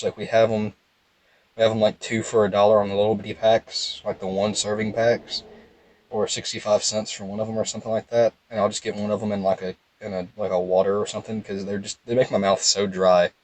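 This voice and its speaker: A young man with a calm, low voice, giving casual, rambling game commentary in a laid-back monotone. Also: dry recording, rough recording. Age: young adult. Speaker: gaming video host.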